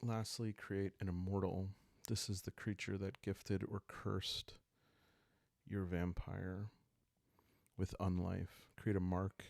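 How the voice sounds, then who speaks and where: A man speaking softly and calmly in ASMR style, improvising a story aloud as he hosts a solo roleplaying game, thinking out loud with long pauses. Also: deep voice, creaky, no echo. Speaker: host playing a solo journaling roleplaying game.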